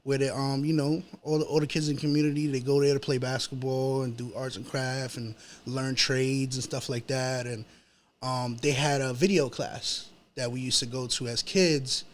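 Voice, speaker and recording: A man's voice: mid-range voice, level tone, moderate pace. A man in his early thirties from New York, with a New York accent, chatting casually as a rapper being interviewed, slangy and peppering his talk with "you know". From Chelsea, Manhattan, New York, USA.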